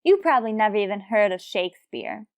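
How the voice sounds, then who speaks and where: A woman's voice: mid-range voice, expressive, a little fast, a little mellow, dry recording, studio quality, close to an everyday voice. A woman playing a scatterbrained, pretentious tavern chatterbox with an earnest manner in a radio comedy. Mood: indignant. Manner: earnest, rambling, indignant. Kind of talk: old-time radio comedy acting.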